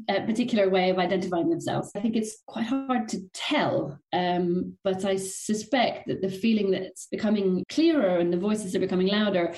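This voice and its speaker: A woman's voice: mid-range voice, darkish tone. Thoughtful woman with a roomy echo. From Sweden. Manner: deliberate, thoughtful, some restarts. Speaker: interview guest.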